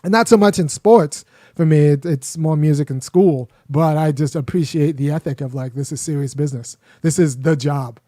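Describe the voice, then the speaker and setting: A man's voice: fairly high pitch, moderate pace, warm tone, no room sound, clean studio sound. A man with young children hosting a casual, rambling commentary monologue, often restarting his sentences. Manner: rambling, frequent restarts and fillers. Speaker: host.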